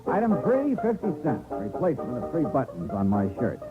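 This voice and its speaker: An easygoing American private eye of fifties radio, dry and wry, with lively pitch swings. The old recording is tinny, with music behind. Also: male voice, a little fast. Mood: wry, matter-of-fact. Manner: wry, dry first-person narration. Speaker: narrator and lead actor. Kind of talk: 1950s radio detective acting.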